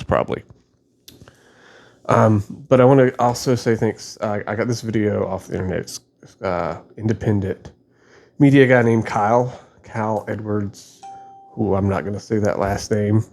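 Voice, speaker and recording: A man's voice: on the low side, a little mellow. A man giving casual, off-the-cuff reaction commentary in a loose, tentative way as a video host.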